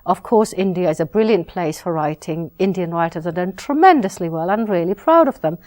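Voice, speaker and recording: A woman's voice: on the low side, expressive, darkish tone. Woman novelist answering thoughtfully. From Britain. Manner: measured, reflective, with frequent restarts. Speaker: novelist, interviewee.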